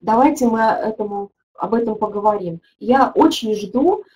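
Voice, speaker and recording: A woman's voice: medium pitch, moderate pace, a little mellow, rough recording. A middle-aged woman, a teaching methodologist, leading a webinar and answering viewer questions, thinking aloud with frequent hesitations.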